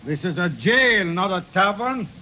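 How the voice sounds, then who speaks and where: An actor playing a gruff, dismissive jailer with a curt manner in an old radio drama. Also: male voice, high-pitched, animated, a little slow. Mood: irritated, mocking. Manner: curt, brusque. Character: gruff, dismissive prison turnkey. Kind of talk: 1930s radio drama acting. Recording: muffled, noisy vintage radio recording.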